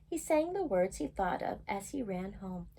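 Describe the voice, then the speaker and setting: Husky woman reading a children's story. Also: medium pitch, normal speed. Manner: animated, acts out characters' lines. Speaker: storyteller reading a picture book aloud. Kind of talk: expressive children's storytime read-aloud.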